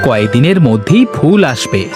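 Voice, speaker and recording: পুরুষ কণ্ঠে চঞ্চল সুরের গ্রাম্য কৃষক বন্ধু. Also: male voice, medium pitch, big pitch swings, fairly quick, music in the background, pitched below the performer's own voice, natural voice. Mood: chummy. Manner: informal, chummy village talk.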